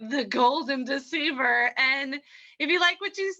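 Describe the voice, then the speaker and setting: A woman host chats casually to her audience. Her voice is high and bright. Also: measured pace, muffled recording. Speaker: host of radio play reading.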